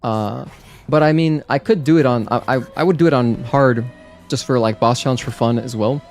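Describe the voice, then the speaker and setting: A man's voice: medium pitch, moderate pace, a little mellow, soft background music. A man whose first language is Spanish, talking casually and in a friendly way to viewers during a live gaming stream, with many fillers. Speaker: gaming livestreamer. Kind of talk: casual live-stream chat while playing.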